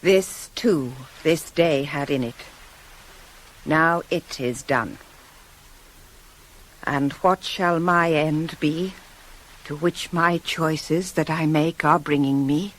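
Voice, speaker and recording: Troubled, fatalistic character of classical radio tragedy, mid-pitched, solemn and deliberate. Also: fairly bright tone, rough recording. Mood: solemn foreboding. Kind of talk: theatrical radio tragedy acting.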